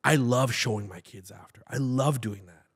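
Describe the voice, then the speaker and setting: Animated man talking with lots of restarts. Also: medium pitch, normal speed, vocal fry, breathy edge. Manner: animated, gesturing, with many repeated words and restarts. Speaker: podcast guest, actor and comedian. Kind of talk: casual interview chat. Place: podcast studio set. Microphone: studio mic on a boom stand.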